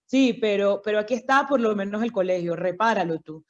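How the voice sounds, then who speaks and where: A Venezuelan woman being interviewed, telling her story in a passionate, animated way. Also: mid-range voice, brisk. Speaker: guest, founder of a migrant children's group. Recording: dull lo-fi sound.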